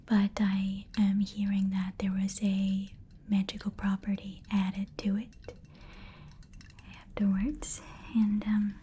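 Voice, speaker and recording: Softly whispering woman, mellow ASMR roleplay. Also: medium pitch, completely flat delivery, takes it slowly, a little hiss or hum. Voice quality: soft breathy whisper. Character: fussy, playful female magical item appraiser. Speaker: ASMR performer.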